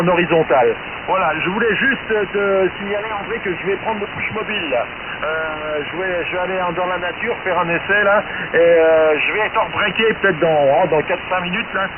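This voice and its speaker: A man's voice: high voice, noisy background. A man talking casually as an amateur radio operator, heard over a radio transmission. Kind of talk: casual radio contact chat. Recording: thin phone-like radio signal, crowded background.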